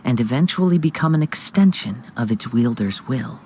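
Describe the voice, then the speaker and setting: A composed young wandering samurai, as an actor plays him, courteous and measured in every line. His mid-range voice stays even and unhurried over a faint hiss in this game cutscene. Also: mid-range voice, close to an everyday voice. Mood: calm. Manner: calm, measured, polite. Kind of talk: video game cutscene dialogue.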